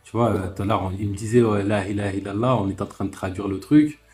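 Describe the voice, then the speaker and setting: A man's voice: lowish voice, fairly even intonation, fast, a little mellow, soft background music. A man hosting a live online talk, chatting casually, laughing often and restarting his sentences. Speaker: host of live debate stream.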